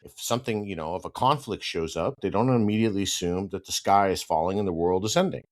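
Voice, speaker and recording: A man's voice: low voice, fast. Congested, nasal man hosting a podcast. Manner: conversational, repeats "right?" often, turns firm and emphatic when ranting. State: congested with hay fever. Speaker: podcast host. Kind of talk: solo podcast monologue.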